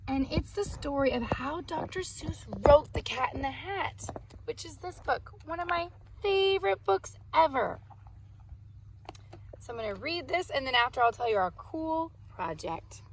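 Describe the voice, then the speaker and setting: Female children's storytime host, high voice, expressive delivery with simple explanatory asides. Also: a little slow, slight background noise, rough recording. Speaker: children's read-aloud host and art teacher. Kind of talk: reading a picture book aloud to children, with asides.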